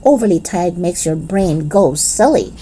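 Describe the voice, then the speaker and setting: A woman's voice: on the low side, expressive, a little slow, warm tone, a little hiss or hum. A woman voicing an eager, cheeky little storybook girl, with childlike, emphatic and informal phrasing. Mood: matter-of-fact.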